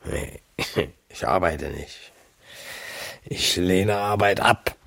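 An actor in a radio play as a drunken, cranky, coarse tenant who rambles and jeers. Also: male voice, low voice, drawn-out words, gravelly, a bit bright, heavily acted. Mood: sullen, rambling. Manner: blunt, coarse, jeering, swinging between barking and muttering. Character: drunken, cranky, rambling jobless male tenant, newly widowed. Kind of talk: radio drama acting.

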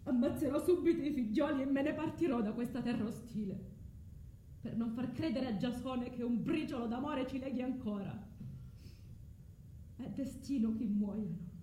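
Actress as anguished vengeful mother, high voice, declamatory radio tragedy, swinging from tenderness to fury, roomy echo. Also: dark tone, lightly acted. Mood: grim resolve. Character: Medea, anguished vengeful mother resolving to kill her children. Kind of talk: tragic stage monologue for radio theatre. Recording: roomy echo, faint background noise.

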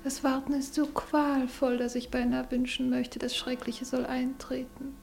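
An actress in a radio play playing a mysterious, anguished lady, hushed, solemn and melodramatic. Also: high voice, monotone, a little slow, vocal fry. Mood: hushed, tormented. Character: mysterious, anguished lady awaiting a dreaded fate. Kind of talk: German radio play acting. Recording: faintly noisy radio play recording.

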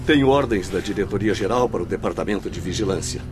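An actor playing a dutiful, earnest spirit aide in a radio drama, formal and deferential. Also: male voice, low-pitched, fast talker, over music, close to an everyday voice. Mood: earnest concern.

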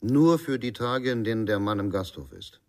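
An actor playing a calm, secretive man in a radio crime drama, measured and reassuring. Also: fairly low pitch, natural voice.

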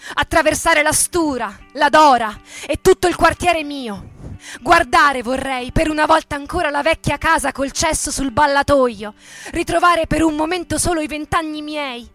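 A woman giving a solemn, declamatory recitation of poetic text for radio theatre, in measured phrases. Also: high voice, a bit bright. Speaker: stage performer reading. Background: music.

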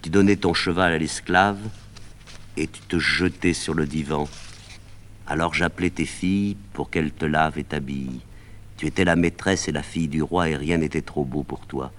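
A man's voice: extremely low pitch, normal speed. A man voicing an old wistful nurse. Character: old devoted nurse woman, reminiscing.

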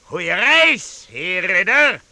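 Vagabond chieftain: high-pitched, gravelly, boisterous and pompous, theatrical radio drama delivery. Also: male voice, sing-song, extremely slow, pushed and bright, no room sound, heavily acted. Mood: taunting. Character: boisterous mocking one-eyed vagabond chief, self-styled duke. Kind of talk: Dutch radio play acting.

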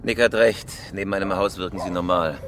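Cool heist boss in a crime radio play, short matter of fact lines, lowish, echoey. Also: male voice, fairly even intonation, fairly quick, fairly bright tone, slight background noise. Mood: terse, alert. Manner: terse, decisive, matter-of-fact. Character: cool, pragmatic male heist leader. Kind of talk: German radio crime drama acting. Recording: long reverb of a hall.

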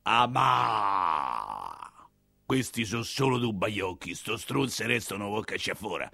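A man voicing a gruff street crook in women's disguise, with a Roman accent and a coarse, menacing grumble, in a stage monologue. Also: fairly low pitch, very animated, unhurried, pushed and bright, no room sound, studio quality, voice pushed down, full character voice. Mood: irritated, coarse. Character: gruff male street swindler disguised as a young woman. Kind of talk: theatrical monologue character voice.

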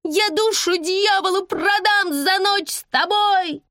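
A bratty eight-year-old girl, pitched far up and loud. She taunts in a defiant, whiny sing-song that swings widely, in a dry storybook recording. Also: expressive, a little slow, no room sound, full character voice.

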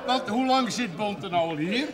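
An actor playing a suspicious, gossiping brewery owner in a television drama, blunt and insinuating. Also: male voice, high voice, lively intonation, moderate pace. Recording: old TV soundtrack, crowd and music behind.

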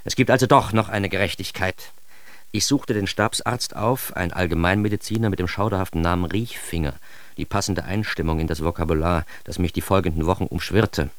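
A man's voice: sits low, a little fast. An actor narrating a radio drama as a naive, bookish young man with a wry, self-mocking and precise manner. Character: naive, bookish young man recounting his life with comic earnestness. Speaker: first-person narrator.

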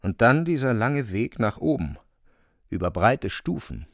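A man narrating a literary radio drama in a creaky voice, deliberately, phrase by phrase. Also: medium pitch, sing-song, dry recording, clean studio sound. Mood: measured, wry. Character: measured literary narrator of a dark fantastic tale.

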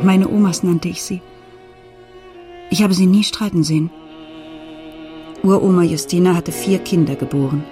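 An actress playing a desperate mother in a radio play, telling a family story at her daughter's sickbed in measured, literary phrases. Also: lowish voice, dark tone, lightly acted. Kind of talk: radio play narration with inner monologue. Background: music.

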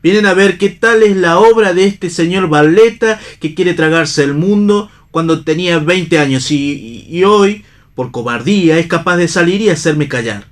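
A man's voice: high voice, normal speed, close to an everyday voice. An Argentine man playing a bitter, idealistic, disillusioned stage actor in a radio theatre reading. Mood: impassioned, oratorical.

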